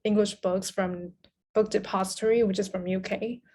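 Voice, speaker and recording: Taiwanese woman as a podcast guest, medium pitch. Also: steady tone, moderate pace, darkish tone, a little hiss or hum. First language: Mandarin Chinese. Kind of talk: casual interview conversation.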